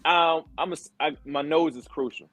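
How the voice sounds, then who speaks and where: A man's voice: a little high, steady tone, moderate pace, a bit bright, no echo, faint music behind. A man in his forties, a guest on a podcast, chatting in a laid-back, slangy way and laughing often. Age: 40s. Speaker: podcast guest, wrestling commentator. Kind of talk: casual podcast chat.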